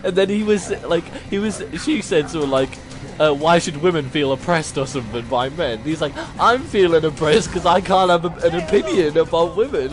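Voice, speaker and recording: A young man chatting casually while gaming, telling anecdotes and giving opinions. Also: a little high. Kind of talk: casual chat while playing a game.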